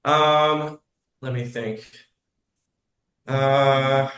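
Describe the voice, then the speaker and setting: A man's voice: medium pitch, steady tone, extremely slow, fairly bright tone. A man from Australia speaking as an interview guest, casual and reflective, hesitantly searching for his words. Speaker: composer and lyricist, interview guest. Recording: roomy echo on the voice.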